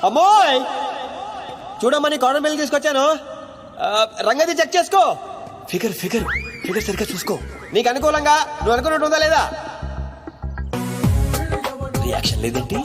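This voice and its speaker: An actor playing a glib, scheming young suitor in a comedy film, wheedling and theatrical in a cheeky way. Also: male voice, very high voice, big pitch swings, fast talker. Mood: mock sentimental. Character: glib, scheming young man wooing a woman, comic. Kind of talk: Telugu comedy film acting. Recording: film audio with music behind.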